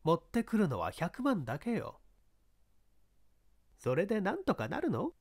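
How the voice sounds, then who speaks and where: A woman's voice: on the low side, wildly swooping pitch, measured pace, a little mellow, husky, heavily acted. A woman voicing a pushy, calculating female baseball scout, insistent and needling, in a story reading. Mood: pressing, scornful. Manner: insistent, needling, feminine old-fashioned phrasing.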